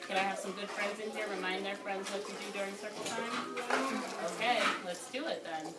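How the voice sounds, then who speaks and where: A woman's voice: medium pitch, steady tone, fairly quick. A woman working as a preschool teacher, talking to young children in simple, instructive phrases with repetition. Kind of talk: reading a picture book aloud and questioning young children. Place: classroom, indoors. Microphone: phone camera at a distance. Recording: roomy, distant phone video, loud background noise.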